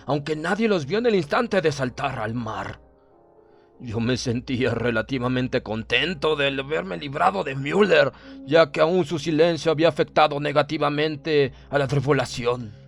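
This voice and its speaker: A man's voice: mid-range voice, creaky. Man narrating horror over music. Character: stern, proud German submarine officer recounting events. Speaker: audiobook narrator. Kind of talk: dramatic scripted narration of a horror story.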